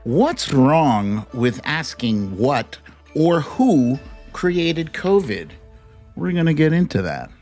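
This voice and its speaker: Slow, playful American livestream host with music behind. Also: medium pitch, big pitch swings, a little mellow. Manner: playful, slangy, informal. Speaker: host of a live online show. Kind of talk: casual livestream chat with shout-outs to viewers.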